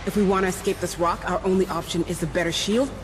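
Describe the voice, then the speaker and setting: An actor playing a practical ship engineer in a video game cutscene, talking in a plainspoken, wry, reassuring way. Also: male voice, high-pitched, fairly even intonation, fast talker, natural voice. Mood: matter-of-fact. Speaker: chief engineer. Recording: game audio with ambient noise.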